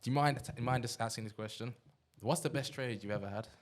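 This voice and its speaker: A man co-hosting a casual podcast interview, relaxed and asking questions. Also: mid-range voice, fast talker. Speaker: podcast co-host.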